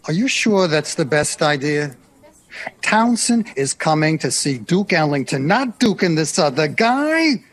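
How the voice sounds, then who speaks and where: High, animated, pushy businessman. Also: measured pace. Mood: dismissive, pushy. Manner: pushy and sneering. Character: brash, dismissive, money-minded businessman in the 1960s. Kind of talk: audio drama acting.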